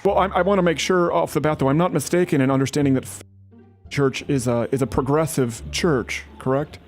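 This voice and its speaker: A man's voice: a little high, a little fast, soft background music. Pushy, earnest-sounding male prank caller. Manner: persistent, falsely earnest salesman-like pushing. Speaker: prank caller posing as refugee aid worker. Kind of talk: prank phone call.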